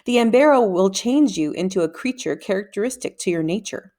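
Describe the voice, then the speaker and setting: An old wizard mentor from a children's storybook, as a woman reader acts him out. He is gruff and impatient, giving curt commands with lively swings of pitch. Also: fairly quick, natural voice. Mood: curt, commanding. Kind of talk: children's book read-aloud character voice.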